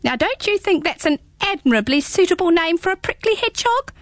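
A prim little storybook hedgehog, as a woman puts him on, talking in a high, lively squeak. The voice is chatty and fussy and swoops a lot. It sounds close and dry. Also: a little fast, no echo. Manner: talkative, prim, rambling. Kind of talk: storybook read-aloud.

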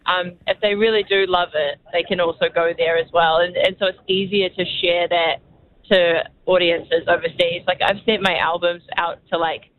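A woman's voice: lowish voice, little pitch movement, moderate pace. Woman on a tinny phone line, casual. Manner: casual, hesitant, lots of fillers. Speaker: musician guest.

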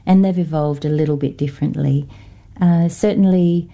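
A woman from Norfolk Island with an Australian-influenced accent, a guest academic in a radio interview, speaking thoughtfully with many pauses and fillers. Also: low voice, fairly even intonation, vocal fry, lo-fi sound.

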